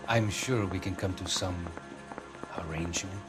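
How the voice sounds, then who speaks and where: A man's voice: sits low, moderate pace, natural voice. A male actor playing a haughty, indignant Argentine consul general with a Spanish-speaking Latin American accent and formal, imperious diction in a video game. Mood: uneasy. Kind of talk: dramatic video game acting. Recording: background music under dialogue.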